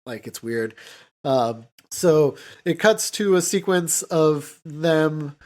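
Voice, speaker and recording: A man's voice: fairly high pitch, lively intonation, slow pace. A man hosting a podcast, recapping a film in a casual, rambling way with loose mimicked dialogue. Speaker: podcast host.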